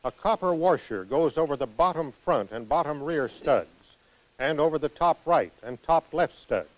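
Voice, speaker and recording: American man, training film narrator, fairly high pitch, measured scripted delivery, exact instructional manner. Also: expressive, rough recording.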